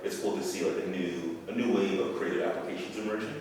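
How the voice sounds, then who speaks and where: A man's voice: low voice, fast talker, a little mellow. A man hosting a podcast interview, talking loosely and thoughtfully with many fillers, recorded at a hackathon venue. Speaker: podcast host. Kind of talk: casual interview chat. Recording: echoey hall from far off, noisy.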